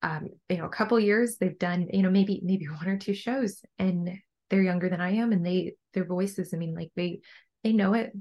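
A young adult woman with a low voice, talking casually and earnestly as a coach being interviewed on a podcast. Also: normal speed, a little mellow, dull audio. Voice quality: low-pitched voice. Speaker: bodybuilding coach and podcast guest.

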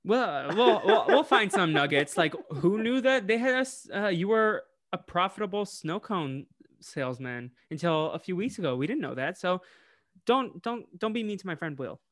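A man co-hosting a podcast, recapping a cartoon episode in casual chat, animated and laughing often. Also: sits high, moderate pace, no echo, studio quality. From United States. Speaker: podcast co-host.

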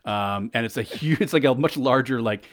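A man with a bassy voice chatting casually on a podcast, rambling with restarts, recorded on a headset mic. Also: normal speed. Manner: rambling, frequent restarts and fillers. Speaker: podcast co-host and event tech guest. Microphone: over-the-ear headset mic.

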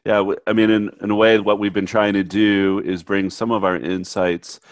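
A man hosting a conversational faith and psychology podcast, a little stuffy from a cold, relaxed, with frequent fillers and easy laughs. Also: fairly low pitch, moderate pace, no room sound. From United States. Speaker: podcast co-host, therapist.

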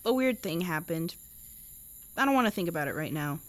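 A woman's voice: on the low side, animated, brisk, no room sound, close to an everyday voice. A young woman playing an anxious, awkward seventeen-year-old girl in an audio drama, speaking in a hesitant, flustered way. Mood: uneasy, cautious.

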